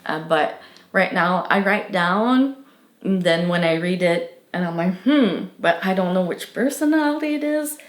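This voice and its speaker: A woman's voice: on the low side, animated, a little slow, reverberant. A young woman with a French accent, a native French speaker, answering interview questions earnestly and thoughtfully, with many fillers and restarts.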